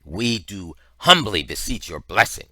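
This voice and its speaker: A man voicing a big, ceremonious traveling juggler in an audiobook, speaking loudly with humble, pleading piety. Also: deep voice, sing-song, measured pace, dry recording, clean studio sound, exaggerated voice. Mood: humble, proclaiming. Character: big devout-seeming traveling juggler, loud, humble, grandiose. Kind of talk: audiobook character voice.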